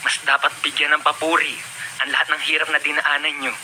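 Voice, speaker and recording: An actor playing a grateful, affectionate young college-age son in a Filipino radio drama, earnest and respectful. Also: male voice, on the high side, flat delivery, brisk, natural voice. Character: devoted, grateful young son about to graduate. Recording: noisy radio broadcast.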